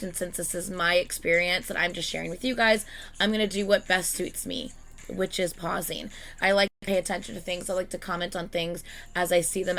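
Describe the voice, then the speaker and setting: A woman hosting a reaction video, talking in a chatty, excitable way and swearing freely. Her voice is bright and sharp but slightly hoarse and congested today. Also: on the low side. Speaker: reaction channel host. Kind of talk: casual reaction vlog chat.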